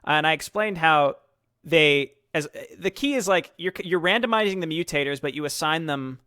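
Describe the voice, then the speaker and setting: A man's voice: on the high side, moderate pace, sharp tone, no echo, studio quality. A man hosting a casual gaming chat with a co-op partner, chatty and laughing often. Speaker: host.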